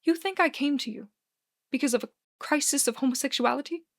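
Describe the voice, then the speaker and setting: A woman's voice: fairly high pitch, huge pitch swings, brisk, gravelly, bright, forceful tone, slightly rough, full character voice. A woman voicing an anguished, furious young man in a dramatic story, with explosive, wailing outbursts and cursing. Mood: quiet, wounded.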